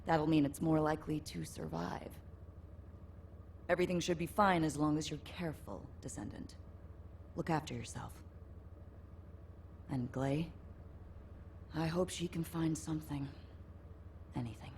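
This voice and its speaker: Game casting: a composed female briefing officer. Deep for a woman, measured and serious, slight ambience behind. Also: steady tone, creaky, a little mellow, slight background noise. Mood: quietly concerned. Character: composed, caring female military operations officer. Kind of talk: video game mission briefing acting.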